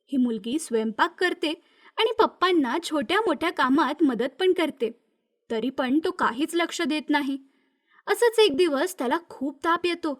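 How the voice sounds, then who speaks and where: A female storyteller with a very high voice narrates a scripted children's tale. Her delivery is that of an animated moral story reader. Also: normal speed.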